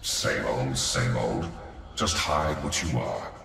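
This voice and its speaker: An actor voicing a tyrant's inner demon in a video game, mocking and needling in a deliberate way. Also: male voice, very deep voice, vocal fry, roomy sound, far from the mic, music in the background, full character voice. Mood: dry, needling. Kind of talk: video game dramatic acting.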